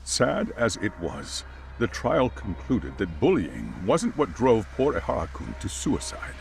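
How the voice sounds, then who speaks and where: A man's voice: lowish voice, huge pitch swings, a little slow, vocal fry, full character voice. An actor playing a wary, proper chairman in an English game dub, hesitant, cautious and defensive. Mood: defensive, alarmed. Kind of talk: dramatic game cutscene dialogue.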